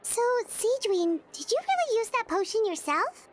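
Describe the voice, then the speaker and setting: A woman voicing a small, childlike fairy companion in a video game, nosy and blunt, with expressive questioning delivery. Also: very high voice, normal speed, slight background noise. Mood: puzzled, skeptical.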